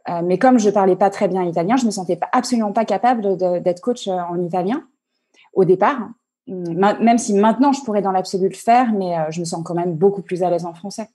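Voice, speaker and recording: A woman coach answering interview questions on a video call, halting and full of fillers and restarts. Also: mid-range voice, dark tone, breathy edge. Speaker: online coach and entrepreneur.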